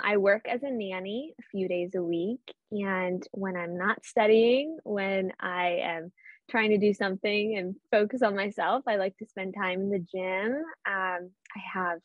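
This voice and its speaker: A woman's voice: medium pitch, no room sound. Earnest teenage girl with a Boston accent. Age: teens. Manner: earnest, thoughtful, with frequent ums. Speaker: podcast guest. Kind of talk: casual interview answers. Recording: dull lo-fi sound.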